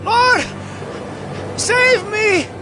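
Very high-pitched frightened disciple. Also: male voice, drawn-out words, exaggerated voice. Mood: desperate. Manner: crying out, strained. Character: Peter, frightened, desperate male disciple. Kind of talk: dramatized scripture acting. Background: very loud music.

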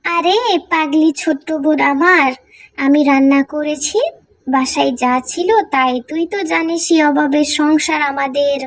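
A woman's voice: sits high, fairly even intonation, measured pace. A woman voicing the caring, responsible elder daughter of a poor farmer in a cartoon, in a soothing, motherly manner. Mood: affectionate. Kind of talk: cartoon voice acting.

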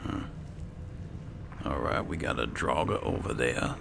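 A man hosts a game playthrough in an extremely deep, heavily creaky voice. He talks slowly in a low-key mutter, with clear game ambience in the background. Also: lively intonation, background noise. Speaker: gaming video host. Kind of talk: quiet game playthrough commentary.

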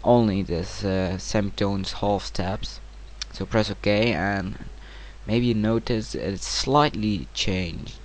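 A man narrating a casual software tutorial in hesitant, informal, non-native-sounding English. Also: on the low side, slow. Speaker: tutorial presenter. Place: home computer screen-recording voice-over. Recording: clear steady background noise.

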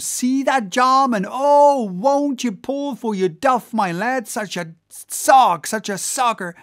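An audiobook reader voicing an excitable, hot-headed whaling mate, frantic and begging in exclamations. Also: medium pitch, voice pushed up, close to an everyday voice. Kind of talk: animated audiobook character voice.

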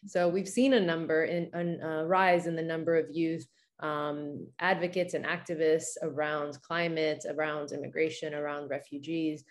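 Low-pitched woman moderating an event. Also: level tone, normal speed. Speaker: host, moderator. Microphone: video call computer mic.

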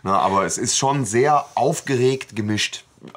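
Man, mid pitch, rough-edged husky tone, casual podcast discussion, colloquial retelling. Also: moderate pace, fairly bright tone. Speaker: podcast co-host. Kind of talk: casual discussion of an audio drama.

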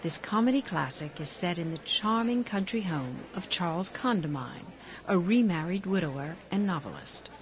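A woman's voice: on the low side, expressive, moderate pace, a little hiss or hum. A woman announcer reading a scripted introduction to a play.

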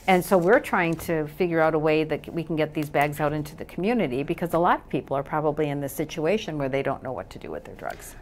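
A woman's voice: sits low, expressive, fast, few pauses, a little hiss or hum. An older woman, a community group guest on a talk show, telling a personal story and searching for words, in a studio on a lapel mic. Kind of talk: casual talk show conversation. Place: TV studio, indoors.

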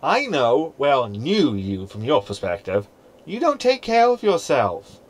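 An actor playing a sardonic, unimpressed holographic crewmate in a science fiction audio sitcom. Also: male voice, mid-range voice, wildly swooping pitch, measured pace, a little hiss or hum. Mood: snide. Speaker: actor in sci-fi comedy audio drama.

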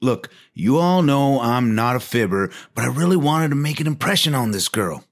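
A man's voice: mid-range voice, expressive, moderate pace, close to an everyday voice. An actor playing an earnest, hapless small-town young man with a folksy manner in a comedy script reading. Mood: sheepish, hopeful. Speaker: actor in a script table read.

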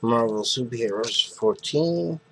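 A man in his thirties, sitting at a webcam in a small bedroom, slowly listing off comic books he won at auction. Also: medium pitch, lively intonation, creaky, a bit bright, a little hiss or hum, poor audio. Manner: slow, plain listing of items. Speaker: comic collector vlogger. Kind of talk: casual show-and-tell vlog. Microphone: webcam at close range.

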